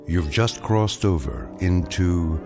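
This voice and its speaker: A man narrating an eerie radio drama in a measured, portentous way, with deliberate dramatic pauses. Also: low voice, lively intonation, music in the background. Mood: ominous. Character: ominous, measured male narrator of eerie tales.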